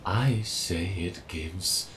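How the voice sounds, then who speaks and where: A man voicing a playful male machine who learned to speak from people, with drawn-out, oddly timed phrasing. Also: deep voice, fairly bright tone, a little hiss or hum, heavily acted. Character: male machine mechanic, playful, odd speech.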